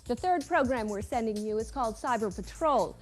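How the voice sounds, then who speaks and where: A woman host presenting a scripted segment about software in an even, smooth read. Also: a little high, a little fast, soft background music. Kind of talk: scripted tech segment narration.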